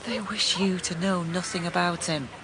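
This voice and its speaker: An actress playing a sensible, compassionate housekeeper who narrates a radio drama in a low, grave, measured voice. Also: female voice, steady tone, a bit bright, slight background noise, natural voice, poor audio. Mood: firm, pleading.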